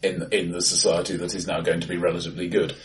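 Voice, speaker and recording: A man co-hosting a casual film discussion in a bright-sounding room, with a measured, wry, analytical manner. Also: sits low, flat delivery. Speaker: podcast co-host. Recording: distant, bright echoey room.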